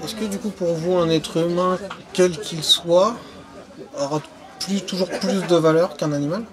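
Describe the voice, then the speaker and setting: A man's voice: high voice, steady tone, measured pace, low-quality recording. A man interviewing passers-by outdoors at a summer event, asking casual, probing questions. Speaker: street interviewer. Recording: outdoor event with crowd noise. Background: crowd chatter.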